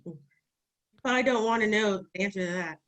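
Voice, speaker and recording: A woman's voice: medium pitch, a little fast, fairly bright tone, natural voice. A woman playing a frightened, wary thirteen-year-old refugee girl in a screenplay table read. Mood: anxious.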